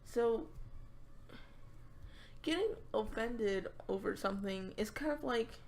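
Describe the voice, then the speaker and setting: A young woman with a raspy voice, chatting casually to her viewers and rambling with lots of fillers and restarts. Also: on the high side, expressive, slow, slight background noise. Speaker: YouTuber. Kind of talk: casual vlog chat.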